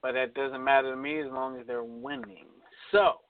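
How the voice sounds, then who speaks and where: A man hosting a casual sports radio show, picking games with animated restarts, his voice going hoarse. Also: medium pitch, moderate pace, dry recording. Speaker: sports talk show host. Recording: dry, tinny phone-like sound.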